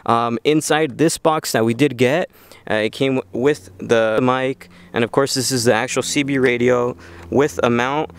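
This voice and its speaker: Smooth-voiced man hosting a casual tutorial. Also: medium pitch, fairly even intonation, a little slow, no echo. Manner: informal, explains step by step. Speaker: video host.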